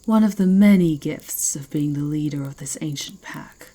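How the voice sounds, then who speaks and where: A woman's voice: low voice, moderate pace, mellow. A woman playing a proud, menacing werewolf who leads an ancient pack, speaking with haughty deliberation in an ASMR fantasy roleplay. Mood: intrigued, sly.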